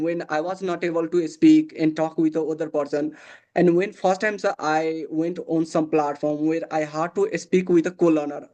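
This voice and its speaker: Young Nepali man, Bhojpuri native, highish voice, hesitant learner English over video call. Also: a little mellow. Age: young adult. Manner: hesitant, many fillers and restarts. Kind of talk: casual conversation practice over video call.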